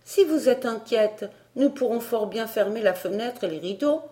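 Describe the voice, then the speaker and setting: A woman's voice: on the high side, expressive, moderate pace, mellow, voice pushed up, lightly acted. A woman voicing a curious, sensible young girl in a storybook, with an earnest, reasoning manner. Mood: puzzled, impatient.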